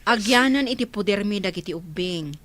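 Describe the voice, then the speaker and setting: A woman's voice: medium pitch, expressive, a little fast, fairly bright tone, no room sound, close to an everyday voice. A woman playing a bashful, teasing sweetheart with an Ilocano accent in an audio drama. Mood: friendly. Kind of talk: Ilocano radio-style drama acting.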